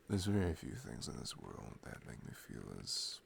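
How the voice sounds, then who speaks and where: Low, creaky-voiced, affectionate boyfriend played by a man. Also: slight background noise, heavily acted. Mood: tender, sincere. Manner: rambling, tender, casual and teasing. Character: sweet, playful, affectionate boyfriend cuddling his partner. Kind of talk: ASMR boyfriend roleplay, improvised intimate chat.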